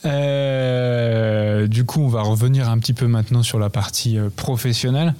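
A man's voice: mid-range voice, steady tone, moderate pace, mellow, smooth. A man hosting a podcast, asking interview questions in an informal but polite, conversational way.

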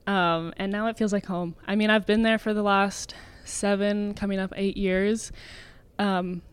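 A young woman in her twenties talks easily in a relaxed interview, sprinkling her speech with little fillers and light laughs. Her voice is clean and smooth, pitched in the middle for a woman. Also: measured pace. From Canada. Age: 20s. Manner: chatty, filler-heavy, laughs often. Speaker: guest, elite swimmer. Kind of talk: casual interview talk.

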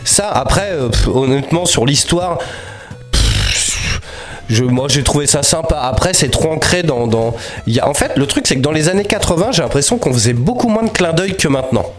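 Lively French man with a cold. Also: on the high side, moderate pace, faint music behind. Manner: lively, rambling, slangy. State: has a cold. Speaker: podcast co-host. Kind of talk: casual chat about films and cartoons.

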